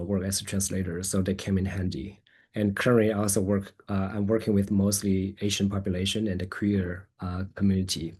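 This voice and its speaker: Male therapist presenting a webinar: husky, lowish voice, hesitant delivery. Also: dark tone. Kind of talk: presentation and question answering.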